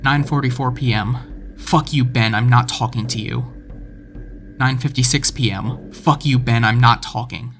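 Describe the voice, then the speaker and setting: A man's voice: medium pitch, fairly even intonation, normal speed, a bit bright, faint music behind. A man narrating a scripted video essay about an internet horror story. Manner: reads quoted messages with rising intensity.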